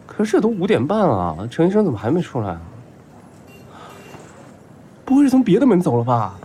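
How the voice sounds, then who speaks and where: A man's voice: on the high side, huge pitch swings, brisk, mellow, a little hiss or hum. A man acting in an audio drama as a flustered, smitten young patient, stammering and whining his way through his lines. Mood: excited, smitten. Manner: stammering, whiny, exclamatory. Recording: music behind.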